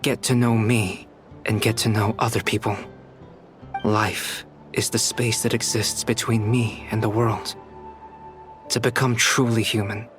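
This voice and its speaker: A man playing a calm, soft-spoken young scholar, an artificial human in a video game, speaking formally and reflectively. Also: on the low side. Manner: measured, reflective, formal diction. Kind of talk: scripted video game dialogue. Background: background music.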